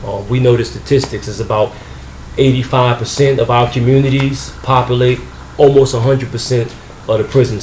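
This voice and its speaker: A man interviewing a guest in the guest's home, speaking earnestly with many pauses and fillers. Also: mid-range voice, darkish tone, roomy sound, slight background noise, low-quality recording. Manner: measured, filler-heavy, earnest. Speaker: interviewer, magazine publisher. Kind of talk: interview hosting.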